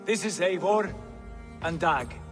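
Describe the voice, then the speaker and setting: Viking jarl for a game, high voice, big formal leader talk, music loud behind. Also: male voice, slow pace. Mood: magnanimous. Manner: declamatory, formal speech of a leader. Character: commanding, honourable Norse jarl leading his clan, adult man. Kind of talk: dramatic video game cutscene acting.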